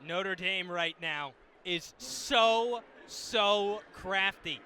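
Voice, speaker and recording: A man's voice: sits high, extremely slow. An American man giving live sports analysis on the radio in an emphatic, explanatory way. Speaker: radio color commentator. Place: indoor stadium broadcast position. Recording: live radio with steady venue noise.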